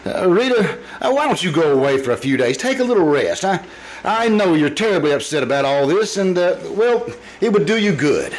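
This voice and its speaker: An actor playing a confused, forgetful, mild-mannered husband in old-time radio drama style, with halting, stammering delivery. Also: male voice, high-pitched, sing-song. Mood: gentle, flustered. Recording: noisy live stage performance recording.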